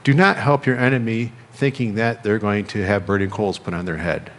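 A middle-aged American man preaching a sermon as a pastor, speaking in measured phrases with pauses and repetition. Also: lowish voice, steady tone, slight background noise. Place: church sanctuary, indoors at a lectern. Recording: reverberant church hall.

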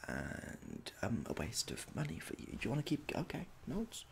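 Hesitant young man, creaky ASMR voice. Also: mid-range voice, huge pitch swings, a little hiss or hum. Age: young adult. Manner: halting, hesitant, awkwardly polite. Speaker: ASMR performer playing a medical examiner. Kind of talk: soft-spoken ASMR roleplay. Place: small domestic room indoors.

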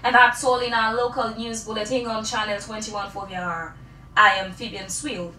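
A woman's voice: medium pitch, level tone, moderate pace. A woman reading scripted news as a presenter. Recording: distant, echoey, loud music bed.

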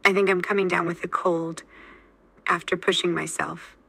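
A woman's voice: lowish voice, little pitch movement, moderate pace, vocal fry, pushed and bright, husky, no echo. A woman's AI-generated voice repeating model sentences steadily for a language lesson. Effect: AI text-to-speech voice. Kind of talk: scripted sentence drills.

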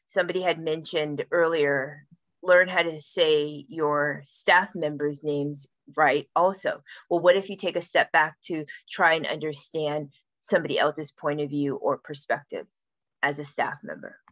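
Brief: deep-voiced woman, measured and reflective, close dry recording. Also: steady tone, fairly bright tone, no room sound. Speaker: workshop co-presenter. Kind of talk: conference workshop talk.

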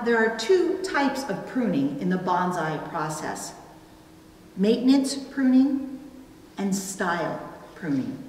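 Distant, very echoey woman preaching slowly. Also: medium pitch, darkish tone. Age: middle-aged. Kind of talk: scripted sermon. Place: church sanctuary at a wooden pulpit, indoors. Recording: very echoey hall, distant mic, faint noise.

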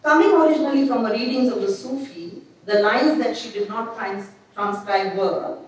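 Woman lecturing in an echoey hall. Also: a little high. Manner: measured, reflective reading with small restarts. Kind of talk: reading a prepared lecture. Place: dim gallery hall, seated at a desk. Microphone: gooseneck desk mic. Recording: echoey gallery hall, mic at a distance.

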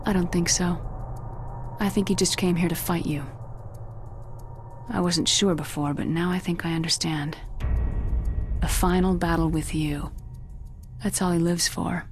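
An actress playing a haunted young woman scientist in a video game, sorrowful and vengeful, speaking in a hushed, deliberate way. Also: lowish voice, fairly even intonation, natural voice. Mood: sober. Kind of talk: dramatic video game voice acting. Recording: music under the voice.

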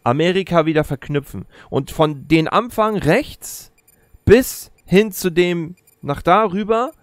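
A man's voice: high voice, lively intonation, a little slow, no room sound. A man in his thirties giving casual, explanatory gameplay commentary into a close streaming mic. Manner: explanatory, chatty. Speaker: let's play host. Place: indoor streaming setup. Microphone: close streaming mic at gaming chair.

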